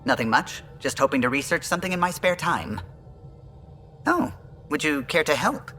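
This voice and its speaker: An actor playing an earnest, eager alien pilot in a video game, polite and precise in speech. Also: male voice, a little high, expressive, moderate pace, pushed and bright, long reverb tail, soft background music. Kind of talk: video game character acting.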